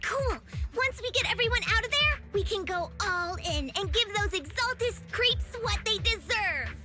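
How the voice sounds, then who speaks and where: A spunky young fighter. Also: female voice, extremely high pitch, expressive, moderate pace, over music. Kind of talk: game dialogue.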